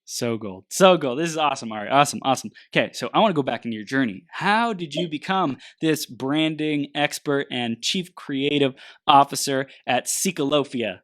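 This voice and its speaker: A man's voice: medium pitch, animated, normal speed, a bit bright. A man hosting a casual podcast interview, enthusiastic and full of affirmations. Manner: enthusiastic, gives frequent affirmations. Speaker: podcast host.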